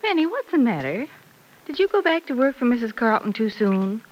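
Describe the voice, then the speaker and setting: An actress playing a patient, affectionate middle-aged mother in a classic radio soap, gently probing and coaxing with concern. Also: a little high, big pitch swings, a little fast, slight background noise, low-quality recording. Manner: coaxing, questioning, tender endearments. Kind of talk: mid-century radio soap acting.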